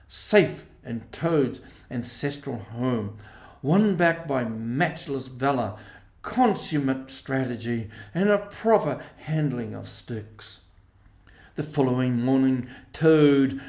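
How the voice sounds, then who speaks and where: Slow-paced male storybook narrator, echoey room. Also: medium pitch, a little mellow. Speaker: audiobook narrator.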